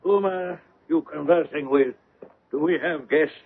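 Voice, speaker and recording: Slow, husky old lord. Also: male voice, on the high side. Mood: suspicious. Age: older. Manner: slow, formal, questioning. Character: Victor's father, unwell, suspicious older lord of the manor. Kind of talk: radio mystery drama acting. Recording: muffled, narrowband old radio recording.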